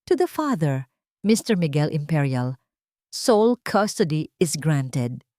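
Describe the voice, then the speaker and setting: A woman's voice: low voice, sing-song, slow pace, a little mellow, dry recording, studio quality, full character voice. A woman voicing a stern, impartial judge who formally pronounces rulings in an audio story. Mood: solemn, softened.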